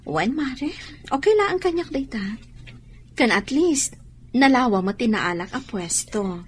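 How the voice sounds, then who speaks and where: A woman's voice: high voice, animated, unhurried, a little hiss or hum. A woman playing an easygoing, practical friend in an Ilocano radio drama. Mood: practical, upbeat.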